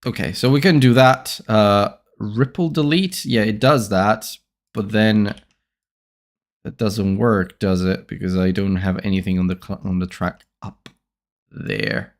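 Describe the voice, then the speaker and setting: A man who hosts a podcast, thinking aloud in a casual, halting way as he edits, often trailing off into quiet mutters. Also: on the low side, steady tone. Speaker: podcast host editing his episode.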